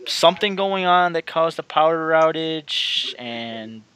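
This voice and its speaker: A man's voice: on the high side, expressive, slow pace, sharp tone, poor audio. A man doing casual let's-play commentary as a channel host, rambling and repeating himself as he talks to his viewers.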